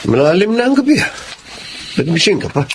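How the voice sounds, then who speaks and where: An actor playing a young adult elder brother with a Filipino accent, concerned and admonishing in a radio drama. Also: male voice, high-pitched, sing-song, a little fast, a little hiss or hum. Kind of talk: Tagalog radio drama acting.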